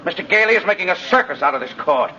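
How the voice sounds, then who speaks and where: An actor playing an indignant, combative prosecutor, forceful, scornful and formal, in 1950s radio drama style. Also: male voice, sits high, lively intonation, fast, crowd noise. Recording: dull, narrow 1950s radio recording.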